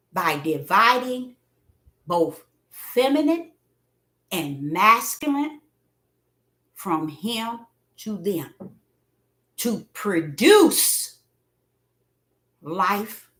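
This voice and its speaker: A woman preaching a livestream sermon in a repetitive, preachy manner that swells into fervent shouts. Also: medium pitch, echoey room.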